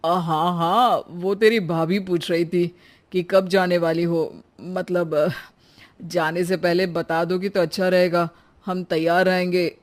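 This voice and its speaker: A woman's voice: on the low side, steady tone, slow. A woman voicing an awkward adult brother with a hesitant, hedging manner in a cartoon family story.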